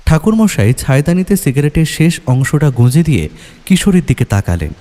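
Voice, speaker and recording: A man's voice: on the high side, big pitch swings, brisk, mellow. A man narrating a scripted horror story in a dramatic, atmospheric style.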